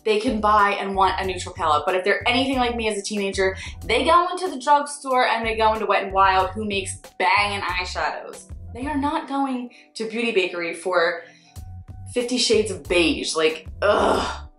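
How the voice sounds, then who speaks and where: A woman's voice: mid-range voice, normal speed. Blunt Philadelphia woman ranting in an echoey room. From Northeast Philadelphia. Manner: blunt, sarcastic, swears freely, animated swings. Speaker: beauty vlogger, freelance makeup artist. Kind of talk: candid casual ranting vlog. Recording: echoey room with faint music.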